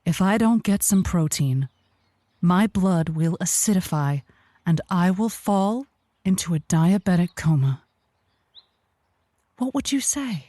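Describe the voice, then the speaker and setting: A woman playing an earnest, rambling advice host on an improvised comedy call-in, halting and theatrically emphatic. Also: lowish voice, lively intonation, mellow, no echo. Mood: earnest, persuading.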